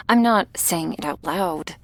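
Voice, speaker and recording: Shy female vampire played by a woman for ASMR roleplay: very slow, bashful, halting delivery, soft breathy voice, affectionate and easily flustered. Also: lowish voice, dry recording, heavily acted. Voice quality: soft, breathy, often whispery. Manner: bashful, halting, nervously giggly.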